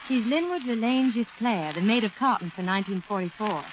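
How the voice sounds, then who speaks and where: A woman's voice: fairly high pitch, expressive, fairly quick. A woman with an American accent delivering scripted fashion copy in a 1940s radio style. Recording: muffled, faintly noisy 1940s radio broadcast.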